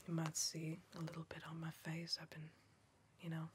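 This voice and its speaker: A young woman playing a weary, defiant member of a backwoods crime family in an ASMR roleplay, in a hushed, halting breathy whisper. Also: low-pitched, completely flat delivery. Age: young adult. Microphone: close ASMR microphone.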